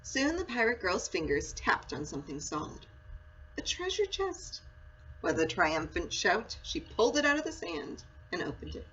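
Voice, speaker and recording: A woman reading a children's storybook aloud in an expressive, dramatic and deliberate way. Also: fairly low pitch, husky. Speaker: story reader, school read-aloud. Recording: dull lo-fi sound with faint noise.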